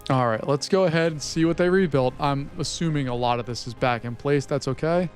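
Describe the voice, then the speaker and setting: Hoarse male gaming host, mid-pitched, chatty play-by-play over soft music. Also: brisk. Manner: chatty, talks to his game units. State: losing his voice, hoarse. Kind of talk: casual gameplay commentary.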